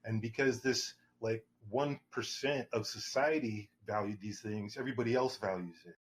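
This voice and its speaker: A man's voice: mid-range voice, a little mellow, a little hiss or hum. A man at a governance workshop, talking at length in a rambling way, with restarts and fillers. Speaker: workshop participant. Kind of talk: casual panel discussion.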